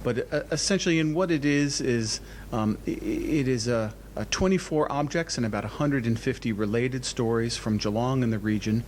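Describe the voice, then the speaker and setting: A man giving explanatory interview answers as a museum director, enthusiastic but halting, often repeating words. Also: medium pitch, slight background noise.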